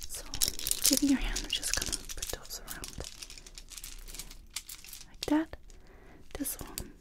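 A young woman speaking in a breathy, hushed whisper in short instructional phrases, as in an ASMR roleplay, close to the microphone. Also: measured pace. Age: young adult. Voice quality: breathy, mostly unvoiced whisper. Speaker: ASMR creator playing a stylist in a makeover roleplay.

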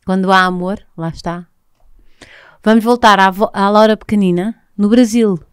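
A podcast host, a woman, asks questions and nudges the talk along. Her voice is smooth and resonant at a middling pitch. She is recorded close on a studio mic, dry and free of room sound. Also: fairly even intonation, moderate pace, no room sound. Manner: warm prompting questions, frequent short backchannels. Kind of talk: casual conversational interview. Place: cosy caravan studio set. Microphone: studio mic on a boom arm, with headphones.

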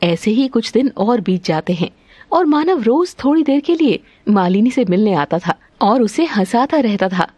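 A woman's voice: a little high, sing-song, normal speed, warm tone, dry recording. A woman narrator reading a scripted story.

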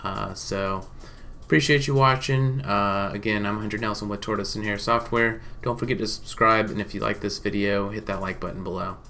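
Male presenter, thirties, lowish voice, informal business explainer with halting delivery, some background noise. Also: fairly even intonation, pushed and bright. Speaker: marketing company presenter. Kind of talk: informal case study presentation to camera.

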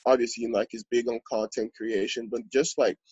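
A man in his twenties from Congo, a podcast guest telling his story in a relaxed, earnest way with lots of fillers. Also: medium pitch, moderate pace, slightly rough, no echo, muffled recording. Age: 20s. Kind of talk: podcast interview.

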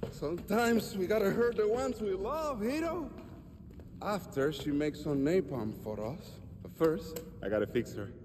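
A man playing an eccentric, boastful guerrilla tinkerer in a video game, with theatrical, excitable flourishes. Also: sits high, background noise. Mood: doting, playful. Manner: theatrical, drawn-out bragging with affectionate flourishes. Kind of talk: video game cutscene acting.

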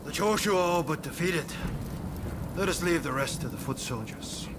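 A man's voice: fairly high pitch, moderate pace, heavy background noise, natural voice. A man playing a confident samurai army officer in a dramatic video game battle scene. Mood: confident, satisfied. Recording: battle scene under loud music.

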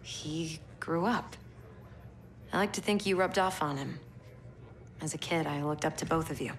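An actress playing a composed young military commander who talks in a matter-of-fact way in a video game cutscene. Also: female voice, sits low, normal speed, a little hiss or hum.

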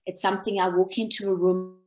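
A South African woman talking over a video call in a loose, conversational way. She wanders through her points, restarting sentences and filling pauses with ums, and sometimes apologises for her own humour. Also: medium pitch, flat delivery, dark tone. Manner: self-deprecating, rambling with many restarts and ums. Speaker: webinar presenter. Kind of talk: casual conversational talk.

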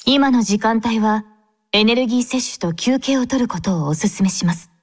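A woman voicing a devout young female android squad captain in an anime game, speaking formally and with gentle composure. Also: fairly high pitch, moderate pace, close to an everyday voice. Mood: calm, courteous. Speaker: game character voice actor.

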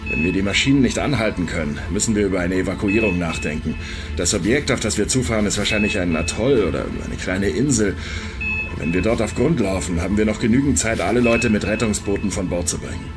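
An actor in a German radio drama playing a tense first officer, authoritative and irritable under pressure. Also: male voice, on the low side, expressive, music in the background, lightly acted. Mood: tense, commanding. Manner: brisk, matter-of-fact, authoritative.

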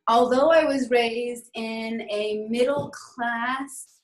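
A woman in her forties from New Orleans speaks candidly into her webcam on a video call, her voice sitting in a middle pitch. The room around her adds a noticeable echo. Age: 40s. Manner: candid, conversational, sprinkles in "y'all". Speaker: club speaker. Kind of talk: prepared personal speech. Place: home room, indoors. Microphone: laptop webcam on a video call.

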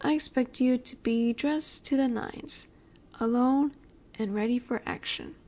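A woman playing a flirty, confident female mafia boss in a roleplay audio drama, coy and teasing with breathy asides. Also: on the high side, unhurried, a little mellow, natural voice. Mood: seductive, teasing.

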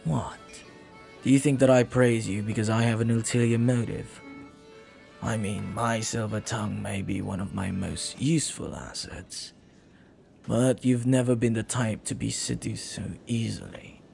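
Flirty pirate played by a man, gravelly and intimate. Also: on the low side, steady tone, unhurried, a little mellow, faint music behind. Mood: flirty, sincere. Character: flirty, silver-tongued male pirate, tender and devoted. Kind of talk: romantic audio roleplay acting, intimate second-person monologue.

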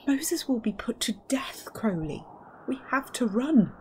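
A woman's voice: fairly high pitch, very animated, slow, a little mellow, slight background noise. A woman voicing a prim, anxious and earnest male angel in a comic dub, proper and fretful in delivery. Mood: worried, urgent.

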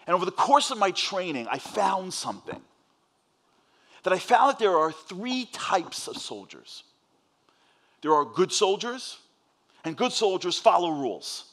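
A man with a New York accent giving a fast-paced inspirational speech on a large stage, telling a story and acting out the dialogue. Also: sits high, very animated, a bit bright. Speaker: motivational speaker.

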